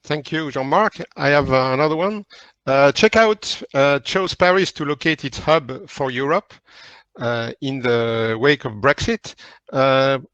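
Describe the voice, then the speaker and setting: A man's voice: medium pitch, a little slow, fairly bright tone, clean tone, no echo. A man moderating a webinar panel, with brief, polite handoffs to the speakers. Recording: dull dry lo-fi audio.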